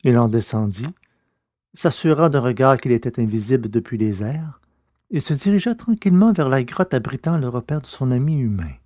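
A man narrating a scripted adventure story in a measured, literary way. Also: mid-range voice, expressive, darkish tone, no room sound, studio quality.

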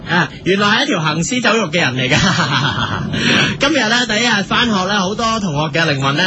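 Man playing a high-pitched, brash street reporter. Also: animated, moderate pace. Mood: brash, exasperated. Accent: Hong Kong. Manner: blunt, mocking. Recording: noisy street ambience behind.

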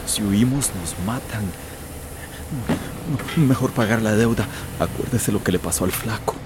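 An actor playing a fearful, resigned captive worker in a radio drama, speaking in a hushed, wary way. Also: male voice, fairly low pitch, measured pace, noisy background, close to an everyday voice.

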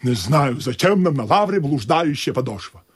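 An actor playing a grumbling, practical sailor in a comic radio play, with a raspy voice. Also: male voice, mid-range voice, big pitch swings, normal speed.

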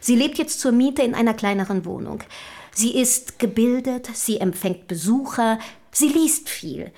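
A woman's voice: on the high side, expressive, a little slow, darkish tone. An actress in a German radio play voicing an eager, self-assured young woman of a rich family, speaking formally and articulately. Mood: chatty, proud.

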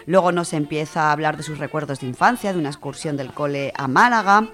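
A woman's voice: deep voice, fast, fairly bright tone, soft background music. A woman with an accent from Spain presenting a radio show, chatting informally as she reads out listener contributions. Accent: Spanish from Spain. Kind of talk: casual radio chat, reading out listener contributions.

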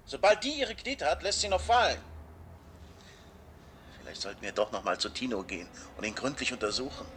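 Bright, excitable scheming crook. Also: male voice, medium pitch, fairly quick, a little hiss or hum. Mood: agitated scheming. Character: agitated, scheming male crook, foul-mouthed. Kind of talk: German radio crime drama acting.

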